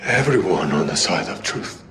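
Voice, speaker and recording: An actor playing a calm, resolute captive prophet in a biblical drama, speaking in a measured, solemn way. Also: male voice, sits low. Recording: music under the voice.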